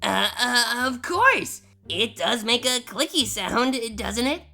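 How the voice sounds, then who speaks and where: A sheepish female pony, acted by a woman, talks in a bright voice with lively swings of pitch. She is slow and hesitant, nervously dodging, in a comic audio drama style. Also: creaky, soft background music, heavily acted. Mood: guilty panic. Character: sheepish, guilty young female pony roommate. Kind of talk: scripted comedy fan audio drama.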